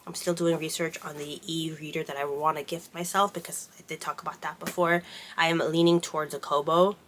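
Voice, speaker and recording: Woman, congested from a cold, bright tone, lowish voice, chatty, rambling, book vlogger. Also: fairly even intonation, a little fast, a little hiss or hum. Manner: chatty, rambling with frequent fillers. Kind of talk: casual chat.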